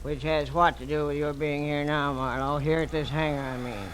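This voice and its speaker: A forceful, fairly high male voice as a blunt, suspicious character, acted by a man. The phrasing is insistent and emphatic, in 1940s radio style. The old recording carries slight noise. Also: steady tone, moderate pace, lightly acted. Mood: grimly insistent. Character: suspicious, blunt man demanding straight answers. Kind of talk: 1940s radio drama acting.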